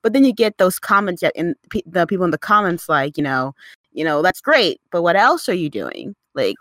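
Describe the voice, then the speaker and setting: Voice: mid-range voice, very animated, normal speed, a bit bright, no echo. A casual, chatty speaker who strings thoughts together with frequent filler words. The recording is dry, with no room sound around the voice. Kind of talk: casual conversation.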